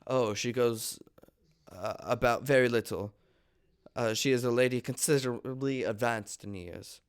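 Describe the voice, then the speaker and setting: A man reading the part of a polite, nervous young gentleman suitor in a stage comedy, formal and deferential. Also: medium pitch, unhurried, clean tone, lightly acted. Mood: earnest, hesitant.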